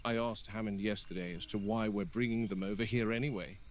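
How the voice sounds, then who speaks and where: A man's voice: lowish voice, steady tone, natural voice. Man acting a grave park warden, noisy recording. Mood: irritated, wary. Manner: grave, deliberate, blunt. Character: stern, exasperated male park game warden logging incidents. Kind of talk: scripted found-footage horror monologue. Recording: noisy found-footage audio with insects.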